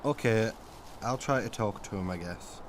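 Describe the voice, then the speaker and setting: A man's voice: lowish voice, normal speed, close to an everyday voice. A man playing Summer as a cheeky, laid-back season spirit with a casual, sarcastic manner in an amateur radio drama. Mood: defensive. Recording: clear noise, buzzing insects.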